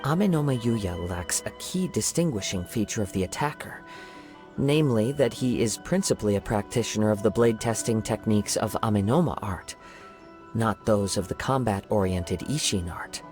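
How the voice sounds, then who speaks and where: A man voicing a calm young wandering samurai in a video game, speaking in a measured, thoughtful way. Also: lowish voice, faint music behind, natural voice. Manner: measured, thoughtful and soft-spoken. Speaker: investigating hero.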